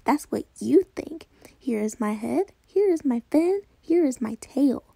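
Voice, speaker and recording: A sly, boastful talking fish from a storybook, voiced by a woman reading aloud. It speaks in a teasing, high voice with a mellow tone, recorded close and dry. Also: expressive, measured pace, vocal fry, husky, no echo, exaggerated voice.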